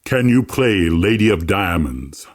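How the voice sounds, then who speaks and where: Slow, imperious gaunt priest. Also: male voice, low voice, animated, no room sound, studio quality. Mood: curt, imperious. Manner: curt, unhurried. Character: gaunt, imperious eldest priest, male, lazily impassive. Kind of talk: full-cast audiobook acting.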